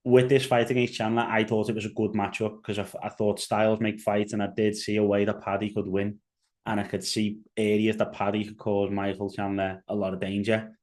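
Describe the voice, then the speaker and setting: A man's voice: on the low side, little pitch movement, fairly quick, a little mellow. A young man with a Liverpool accent giving casual solo commentary as a podcast host, rambling with fillers and restarts. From England.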